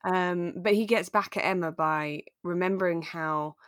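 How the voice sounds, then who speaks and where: Low-voiced woman chatting, close dry sound. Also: a little slow, a bit bright, no room sound. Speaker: podcast co-host. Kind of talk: relaxed chat recapping a radio drama.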